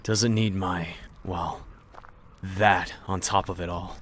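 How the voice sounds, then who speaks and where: A man's voice: sits low, steady tone, slow pace, a bit bright, slight background noise. An actor playing a guarded, restless male survivor in an audio drama, speaking in a curt, terse way.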